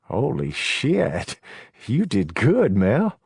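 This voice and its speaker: A man's voice: mid-range voice, lively intonation, normal speed, no room sound, pitched above the performer's own voice, lightly acted. A man voicing an uneasy young male accomplice who talks in a hesitant, questioning way.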